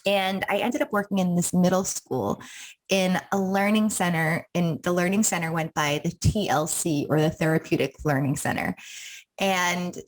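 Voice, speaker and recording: A woman's voice: fairly low pitch, normal speed, poor audio. Young woman counselor telling her story. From Rhode Island. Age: young adult. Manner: thoughtful, many ums and restarts. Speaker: counselor and wellness coach. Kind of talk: interview guest storytelling.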